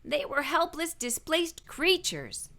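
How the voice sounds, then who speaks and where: A woman reading a children's story aloud as an expressive narrator. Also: high-pitched, takes it slowly. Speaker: children's book reader.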